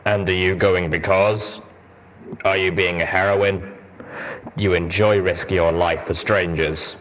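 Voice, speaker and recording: Man doing a low, gruff sardonic pony warrior. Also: steady tone, fairly bright tone, a little hiss or hum, poor audio. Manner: deliberate, probing, dry.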